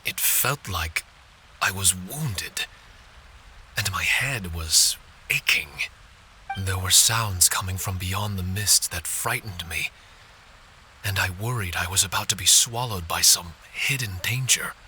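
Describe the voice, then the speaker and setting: A man voicing a gentle, polite spirit warrior in a video game, earnest and a little hesitant. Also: low voice, slow pace, slight background noise, full character voice. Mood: wistful.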